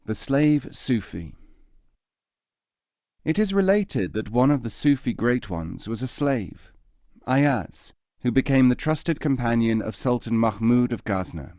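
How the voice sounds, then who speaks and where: A man narrating scripted tales as an audiobook reader, in a measured, articulate storytelling manner. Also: medium pitch, big pitch swings, a little mellow, no echo, studio quality.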